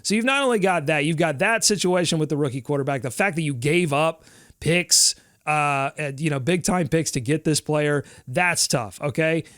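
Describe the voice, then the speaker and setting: A man's voice: a little high, normal speed, fairly bright tone, no room sound, studio quality. A male sports podcast host talking in a loose, rambling way, playfully dramatic about his team's woes. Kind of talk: casual sports talk.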